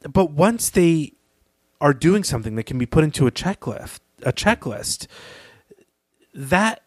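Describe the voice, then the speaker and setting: A man's voice: fairly high pitch, very animated, unhurried, dry recording. Earnest man in close, dry sound. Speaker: podcast co-host. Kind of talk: conversational literary discussion.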